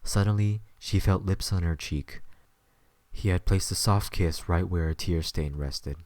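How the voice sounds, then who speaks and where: A man's voice: low voice, a little slow, darkish tone, no echo. A man narrating a scripted story as the narrator of an audio drama.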